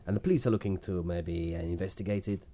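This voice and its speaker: A man voicing an odd foreign male stand-in producer with a thick put-on accent, halting and full of fillers. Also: deep voice, mellow, lightly acted. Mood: rambling. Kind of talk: improvised comedy podcast character.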